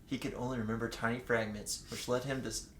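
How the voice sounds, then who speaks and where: A man's voice: medium pitch, little pitch movement, fast. A man reading fan fiction aloud for laughs, playful and often tripping over the words. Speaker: reader, co-host. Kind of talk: comedic read-aloud of fan fiction with joking asides. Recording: roomy echo, faint background noise.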